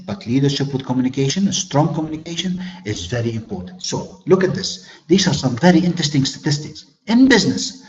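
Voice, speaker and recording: A man whose first language is Arabic teaches a leadership webinar, his voice sitting a touch higher than most men's. He is earnest, asks rhetorical questions and restates his points. The audio is dull and lo-fi, as from a web call. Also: darkish tone. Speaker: corporate trainer. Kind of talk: webinar lecture.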